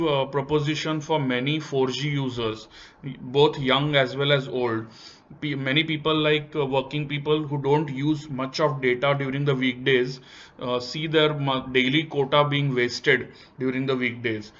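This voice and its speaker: A man's voice: on the high side, a bit bright. Indian-accented male analyst, muffled recording. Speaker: stock analysis presenter. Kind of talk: semi-scripted slide commentary. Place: voice-over narration over slides. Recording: dull, lo-fi voice recording.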